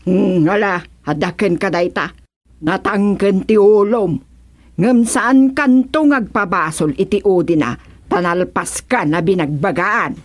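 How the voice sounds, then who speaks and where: A woman's voice: medium pitch, a little slow, lightly acted. A woman playing a stern, concerned mother who admonishes, in an Ilocano radio serial drama. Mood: exasperated. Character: stern, concerned mother scolding her grown child.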